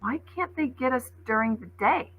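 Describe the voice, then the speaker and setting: Woman playing young storybook boy, bright, slightly husky, practical, helpful, earnest, inquisitive. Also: sits high, animated, moderate pace, voice pushed up, heavily acted. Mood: puzzled.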